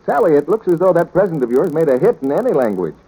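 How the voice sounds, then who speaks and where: A man's voice: a little high, very animated, quick pace, dark tone, husky, rough recording. A male radio announcer delivering scripted 1940s announcements and sales patter. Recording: old 1940s radio broadcast.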